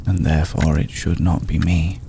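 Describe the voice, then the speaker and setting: A man voicing an ancient, courtly, protective vampire lord in a hushed ASMR roleplay, speaking formally and with deliberate pauses. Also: extremely low pitch, little pitch movement, vocal fry, noisy background. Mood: solemn, apologetic. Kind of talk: ASMR roleplay, slow intimate scripted monologue.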